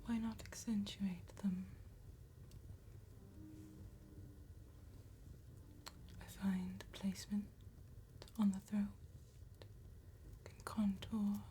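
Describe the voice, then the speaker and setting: A woman playing an elegant, courteous vampire tattoo artist in a soft-spoken ASMR roleplay, with breathy, genteel, deliberate phrasing. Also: mid-range voice, level tone, a little hiss or hum, natural voice. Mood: courteous, flattering. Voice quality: breathy, whispered.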